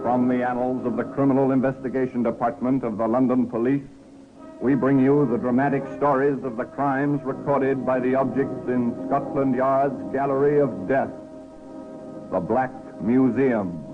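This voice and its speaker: A man's voice: medium pitch, fairly even intonation, moderate pace. Muffled vintage radio announcer man, music behind. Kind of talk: formal 1950s radio announcing. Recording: muffled old radio recording.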